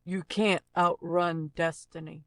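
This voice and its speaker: An actor as a slow, terse fugitive with effects. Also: level tone, dry recording. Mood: grim, urgent. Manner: terse, dramatic, deliberate. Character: grim fugitive fantasy or game character issuing urgent warnings. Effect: pitch and robotic character voice effects being demonstrated. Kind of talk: short scripted dramatic character lines, played as a looping vocal sample.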